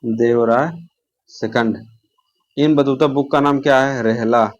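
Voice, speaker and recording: A man's voice: medium pitch, steady tone, slow, lo-fi sound. A man teaches an informal exam revision lesson, repeating key terms and answering his own questions.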